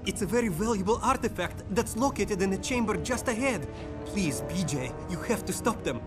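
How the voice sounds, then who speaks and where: A frightened young scholar prisoner. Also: male voice, high voice, lively intonation, moderate pace. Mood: pleading. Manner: pleading, shaken. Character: frightened young male occult scholar captive. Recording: game audio with loud music behind.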